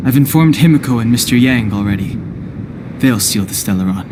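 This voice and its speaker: An actor playing a calm, logical, reserved young man in a video game cutscene, measured and matter-of-fact. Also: mid-range voice, background noise, natural voice. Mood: calm, pensive. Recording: orchestral music behind the voice.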